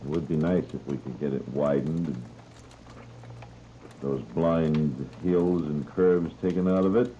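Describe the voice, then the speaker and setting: An American man working as a morning radio announcer in an informal, folksy 1930s live style, chatty and unhurried, on an old muffled radio recording. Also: a bass voice, steady tone, dark tone, slight background noise. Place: radio studio. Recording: muffled, band-limited 1930s radio disc.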